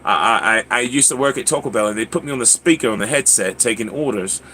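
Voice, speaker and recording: A man's voice: mid-range voice, fast, sharp tone, slight background noise. A man chatting casually on a livestream in a put-on British accent, rambling through stories with playful character-voice touches. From Louisiana, United States. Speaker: livestream host. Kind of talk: casual livestream chat with anecdotes.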